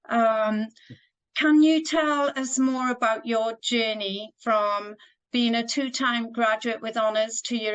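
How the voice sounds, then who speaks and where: A woman's voice: fairly high pitch, fairly bright tone, low-quality recording. A woman from Wales hosting an interview in an effusive, appreciative manner. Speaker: interview host. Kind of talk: warm scripted welcome and interview questions.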